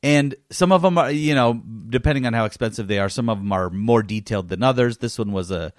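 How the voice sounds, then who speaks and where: A middle-aged man hosts a gaming podcast in a casual, conversational style. His voice sits at a medium pitch, recorded dry and without echo. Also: quick pace. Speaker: podcast host.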